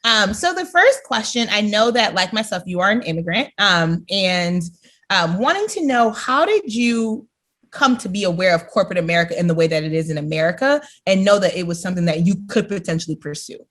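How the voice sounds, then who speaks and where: A woman's voice: medium pitch, lively intonation, a little fast, a bit bright. A woman interviews a guest as a podcast host. Her voice sits in a medium register. She talks informally, building long questions and checking in along the way. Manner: informal, often checks in with "right?". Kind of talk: casual conversational interviewing.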